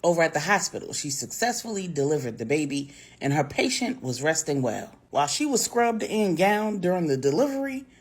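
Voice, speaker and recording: Husky, expressive woman storyteller. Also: low-pitched, brisk, hardly any pauses. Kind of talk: narrated bedtime story for grownups, acting out dialogue.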